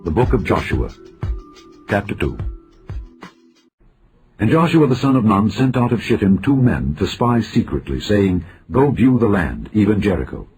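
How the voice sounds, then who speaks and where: A man reading scripture aloud as a scripted narration, solemn and deliberate, with frequent pauses between phrases. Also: on the low side, very animated, darkish tone, faint music behind, low-quality recording. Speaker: scripture reader.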